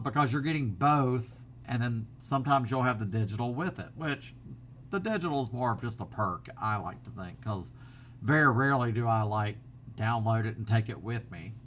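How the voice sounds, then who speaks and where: A man's voice: medium pitch, lively intonation, moderate pace, a bit bright, slight background noise. A middle-aged man, chatting casually to his camera at home, rambling through fillers and restarts. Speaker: vlogger. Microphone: built-in laptop camera mic.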